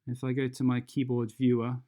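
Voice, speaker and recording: A software tutorial presenter talking through steps unscripted and hesitantly, thinking aloud while demonstrating. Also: mid-range voice, monotone, brisk.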